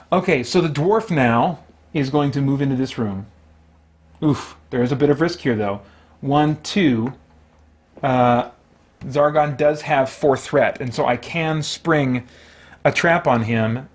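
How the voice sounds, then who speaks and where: A man with a mid-pitched voice talks through a tabletop game as he plays it alone. His commentary is casual and chatty, full of thinking aloud and mild exclamations. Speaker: host playing a solo tabletop game.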